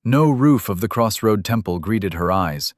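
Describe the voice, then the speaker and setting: Slow, dry-voiced male narrator. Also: on the low side, lively intonation, no echo. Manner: measured, unhurried storytelling. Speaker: audiobook narrator. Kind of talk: scripted fantasy narration.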